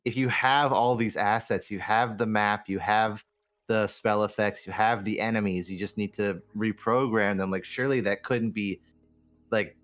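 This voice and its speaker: A man's voice: fairly low pitch, fairly even intonation, moderate pace, a bit bright. A man chatting informally and with strong opinions as a guest in a casual gaming discussion. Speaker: podcast guest. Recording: faint music in the background. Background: faint music.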